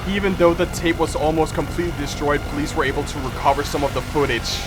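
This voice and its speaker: A man's voice: fairly high pitch, fairly even intonation, fast, music in the background, poor audio. A man live-streaming his reaction to horror videos, reading text aloud and commenting dramatically, often repeating his phrases.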